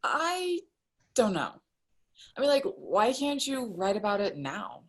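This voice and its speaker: An actress reads a gracefully sardonic young woman in a film script table read. She is a dry, reluctant friend in her twenties, with very animated intonation around a middle pitch. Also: slow. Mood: dry, doubtful.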